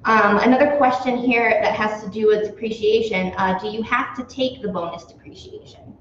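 A woman's voice: medium pitch, normal speed, a bit bright, a little hiss or hum. A young woman hosting a live question-and-answer in an office, friendly, with many "um"s. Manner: friendly, lots of "um"s. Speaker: host. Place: small office room. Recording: very echoey, dull office recording.

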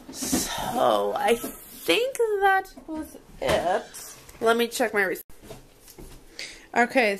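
High-voiced woman chatting casually, noisy recording. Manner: rambling, thinking aloud. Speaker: home vlogger. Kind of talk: casual chat, showing a grocery haul. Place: indoors at a home dining table. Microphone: handheld camera microphone. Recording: noisy handheld camera audio with clicks.

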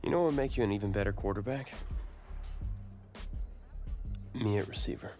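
A man acting as a young American football receiver in a sports game story. His voice is low with a gravelly edge, and he talks in a terse, confident, determined way. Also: racing, a little mellow, soft background music, lightly acted. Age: young adult. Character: determined young college-bound football receiver back from illness. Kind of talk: video game cutscene acting.

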